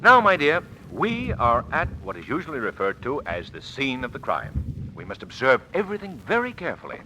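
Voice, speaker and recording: A smooth witty gentleman detective, old radio show, super lively and crisp bright, bit of hiss. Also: medium pitch, brisk, poor audio. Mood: wry, amused. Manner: urbane, theatrical, polite irony. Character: suave, wry, confident gentleman amateur detective. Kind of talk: old-time radio drama acting. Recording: hissy vintage radio drama recording.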